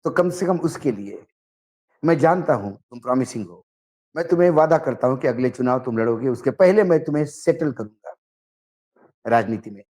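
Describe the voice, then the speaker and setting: A man giving political commentary, speaking emphatically and deliberately in short phrases as he recounts dialogue. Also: medium pitch, expressive, mellow. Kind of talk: political commentary, narrating and translating a reported conversation.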